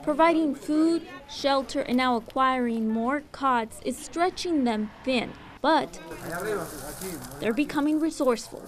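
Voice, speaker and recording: High-pitched woman news reporter. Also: measured pace, slight background noise. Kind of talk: scripted news narration.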